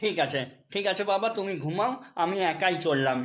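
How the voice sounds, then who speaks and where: A man's voice: high-pitched, a little fast. A man voicing a meek, exasperated henpecked husband in a comedy cartoon, placating and pleading. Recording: loud music under the voice. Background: loud music.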